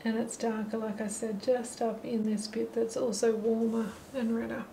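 Middle-aged woman, gravelly and steady, talking through her painting in a holiday flat, faint hiss. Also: a little high, moderate pace, poor audio. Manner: relaxed, thinking aloud while painting. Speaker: watercolour teacher. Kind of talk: painting tutorial narration. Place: holiday apartment, at a table indoors. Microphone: camera mic above the table.

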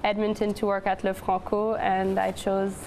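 Young woman guest with a creaky voice. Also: mid-range voice, monotone, moderate pace, a little mellow, a little hiss or hum, poor audio. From Montreal, Canada. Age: 20s. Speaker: interview guest, newspaper editor-in-chief. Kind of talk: casual chat. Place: TV studio. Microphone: lapel microphone.

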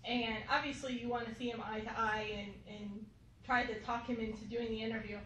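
A woman's voice: on the high side, level tone, brisk, a bit bright, slight background noise. A woman in a workshop audience asking a casual, slightly hesitant question. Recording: echoey room, far from the mic.

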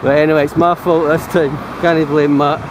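A middle-aged Scottish man talks easily to a handheld camera while out walking. His voice sits a little high, loose and chatty. Heavy wind and passing cars roar over the recording. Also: flat delivery, normal speed. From Scotland. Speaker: vlogger. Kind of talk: casual hiking vlog. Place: outdoors on roadsides and forest paths. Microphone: camera held at arm's length. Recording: loud wind and passing traffic.

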